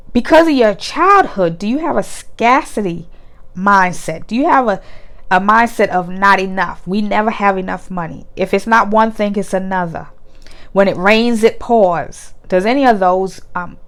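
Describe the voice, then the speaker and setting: A woman with a stuffy nose from a cold speaks as a money coach, addressing the listener directly. Her delivery is earnest and encouraging, and she keeps asking questions to make the viewer reflect. Her voice sits at an ordinary middle pitch. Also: a bit bright. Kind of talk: direct-to-camera coaching talk.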